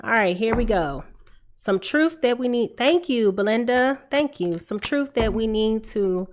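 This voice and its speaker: A woman's voice: a little high, lively intonation, a little slow, slight background noise. A woman in her thirties with a congested, stuffy voice, hosting a tarot livestream in a casual, confiding way, calling out to her viewers from a room at home. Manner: chatty, confiding, calls out to viewers. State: congested from a cold. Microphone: desk microphone in front of her.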